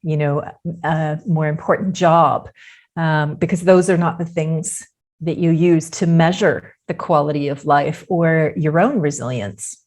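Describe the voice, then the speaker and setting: A woman guest on a podcast interview, talking in a thoughtful, measured way and using many fillers. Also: low voice, steady tone, a little mellow.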